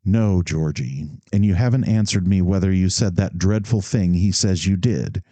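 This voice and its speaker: A man voicing a gentle, indulgent mother who scolds her son mildly and without severity. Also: low-pitched, moderate pace, no echo. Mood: gently reproving.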